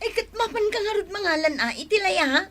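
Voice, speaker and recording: An actress playing an excitable, melodramatic village wife with an Ilocano accent in a radio drama, exclaiming in a wailing manner. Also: female voice, very high voice, quick pace. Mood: scolding, eager.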